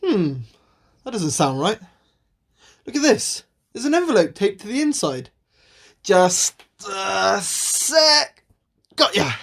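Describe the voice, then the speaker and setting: Excitable, scatty female sidekick played by an amateur, playful and dramatic, animated mid-pitched voice for radio comedy-drama. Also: slow, vocal fry, pauses often, full character voice. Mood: curious. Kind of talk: amateur radio play acting.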